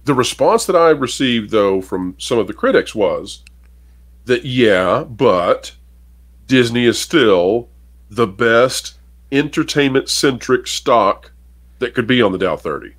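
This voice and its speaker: A man's voice: mid-range voice, animated, slow. A man co-hosting a casual commentary show, reading out an article and giving emphatic opinions to viewers. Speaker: podcast co-host.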